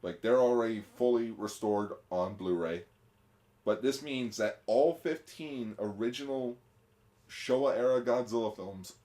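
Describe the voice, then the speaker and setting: A man's voice: medium pitch, measured pace, warm tone, a little hiss or hum. A man co-hosting a podcast, chatting casually and rambling about old films. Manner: chatty, rambling, uses fillers. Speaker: podcast co-host.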